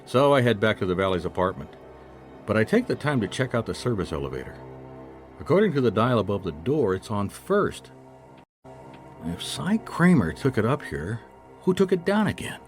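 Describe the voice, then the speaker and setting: An actor playing a wry, dogged private detective in a radio drama, narrating and speaking in a dry, understated way. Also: male voice, on the low side, big pitch swings, normal speed, soft background music. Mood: wry narration.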